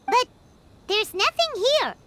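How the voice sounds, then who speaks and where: A woman voicing a tiny, excitable, easily scared fairy companion in an anime video game, squeaky and childlike, with exclamatory, chatty delivery. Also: slow pace, slight background noise, heavily acted. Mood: curious. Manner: exclamatory, chatty, refers to herself in third person.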